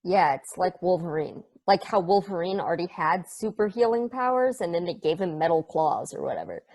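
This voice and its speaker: A woman's voice: lowish voice, animated, brisk, a little mellow, low-quality recording. A woman playing a scornful, foul-mouthed comic-book nitpicker in a play table read. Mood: mocking. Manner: mocking, colloquial ranting.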